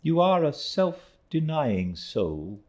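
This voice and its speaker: A man voicing a brisk, kindly older English gentlewoman in an audiobook, crisp, decisive and formal. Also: fairly high pitch, big pitch swings, pitched above the performer's own voice. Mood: warmly approving. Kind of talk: audiobook character reading.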